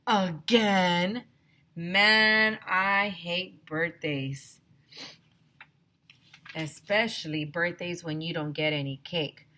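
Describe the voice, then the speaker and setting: Bright-voiced woman reading a children's story. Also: fairly low pitch. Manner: animated, emphatic, addresses young listeners directly. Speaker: teacher reading aloud. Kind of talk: expressive children's story read-aloud.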